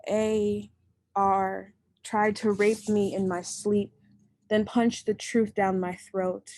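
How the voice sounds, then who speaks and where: A young woman reads her poetry at home over a computer mic, her voice sitting at an ordinary middle pitch. She recites slowly and deliberately and gives each line its weight. Between poems she drops into loose, chatty remarks. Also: flat delivery, a little mellow, no room sound. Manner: slow, measured, deliberate recitation. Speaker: poet and host of the reading. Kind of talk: poetry reading.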